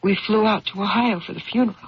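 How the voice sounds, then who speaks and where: An actress playing a loyal, probing wife in a 1970s radio drama, her voice husky and breathy. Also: medium pitch, quick pace. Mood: surprised, gentle. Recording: dull old radio broadcast.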